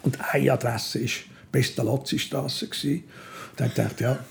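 An older man with a Swiss German accent, telling anecdotes in a casual, animated way, repeating himself as he goes. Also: mid-range voice, normal speed, gravelly, slight background noise. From Switzerland. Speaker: interview guest. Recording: music behind the voice.

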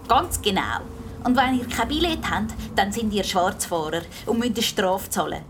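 A woman's voice: mid-range voice, lively intonation, moderate pace, lightly acted. A woman with a Swiss German accent voicing a stern ticket inspector who lectures children. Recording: noisy vehicle ambience.

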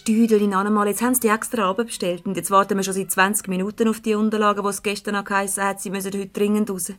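Actress as impatient office worker woman, Swiss German accent, very quick, mid-pitched, grumbling radio-comedy delivery. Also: little pitch movement, natural voice. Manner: brisk, grumbling. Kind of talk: naturalistic 1970s radio comedy acting.

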